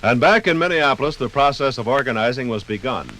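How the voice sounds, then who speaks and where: A man's voice: mid-range voice, animated, quick pace, pushed and bright, poor audio. An American man narrating a scripted 1950s radio documentary program. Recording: 1958 radio program from LP record. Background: faint music.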